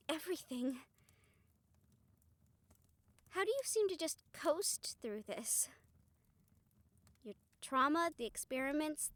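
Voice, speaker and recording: Woman acting a weary, higher-voiced female vampire hunter. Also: a bit bright, dry recording. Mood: earnest, admiring. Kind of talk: intimate audio roleplay to the listener.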